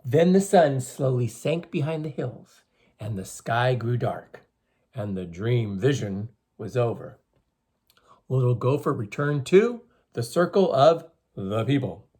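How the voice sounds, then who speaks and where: A storybook reader with a husky, middle-pitched voice addresses young children. The delivery is explanatory and expressive, like reading aloud to a classroom. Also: takes it slowly.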